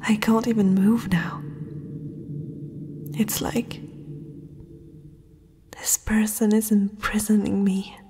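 Woman playing a slow, whispering young princess. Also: mid-range voice, flat delivery, mellow. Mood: dazed, dreamy. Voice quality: breathy whisper. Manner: slow, hesitant, dreamy delivery. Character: young princess, haughty yet yielding to a vampire's spell. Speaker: ASMR roleplay performer. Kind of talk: whispered ASMR roleplay.

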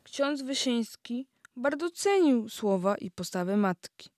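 A woman reads a scripted part in a historical audio play. Her pitch is a little high and the sound is very dry. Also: very animated, unhurried, a little mellow, dry recording.

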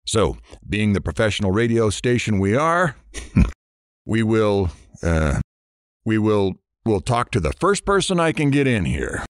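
A man's voice: on the low side, big pitch swings, measured pace, no echo, studio quality. An actor playing a brash, sarcastic morning radio DJ with irreverent showman banter in an audio drama. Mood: flustered. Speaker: radio DJ host.

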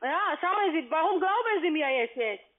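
A woman's voice: very high voice, lively intonation, normal speed. A non-native woman arguing insistently as a call-centre manager over a phone line. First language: not German. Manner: insistent, interrupting. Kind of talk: phone argument. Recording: tinny narrowband phone line.

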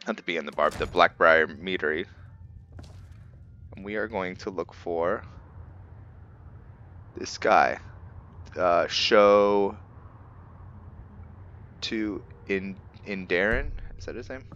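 A man giving casual video game commentary as a roleplay host, halting as he thinks aloud. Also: deep voice, a bit bright, lo-fi sound, slight background noise. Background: game music.

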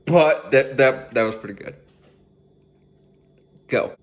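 A man's voice: medium pitch, slow pace, dull audio. A young man hosting a music reaction video from his living room on a headset mic, casual and swearing freely as he hypes up the song. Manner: laid-back, swears freely, hypes up the track. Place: living room at home, indoors.

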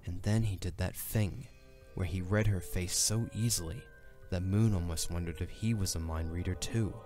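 Deep-voiced male story narrator. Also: steady tone, normal speed, darkish tone, soft background music. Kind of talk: scripted dramatic story narration.

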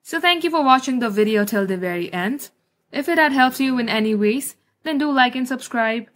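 A woman's voice: high voice, expressive, brisk, a bit bright. A woman narrating a screen-recorded tech tutorial, giving plain step-by-step instructions.

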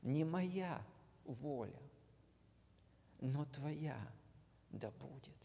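Slow, gravelly preacher in a reverberant hall. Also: male voice, fairly high pitch, animated. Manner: slow, earnest, dropping to a hush at phrase ends. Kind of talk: sermon. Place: church hall.

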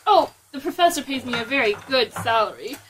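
Vain, perky young secretary for comic audio drama female performer; very high, animated voice. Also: a little fast, a little hiss or hum, rough recording. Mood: chatty, breezy.

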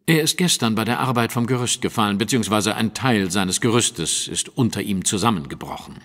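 A courteous, observant gentleman detective speaks low with lively inflection. He is composed, exact and probing, in a radio-play room with long reverb. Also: a little fast. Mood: calm, courteous. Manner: polite, precise, probing. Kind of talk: German audio drama acting.

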